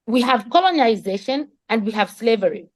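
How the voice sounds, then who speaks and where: A woman's voice: on the high side, lively intonation, fairly quick. A woman who speaks English as a second language, giving an impassioned advocacy speech in an emphatic, rhetorical manner. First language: not English. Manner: emphatic, rhetorical questions, repeats words for emphasis. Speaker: speaker at a community summit.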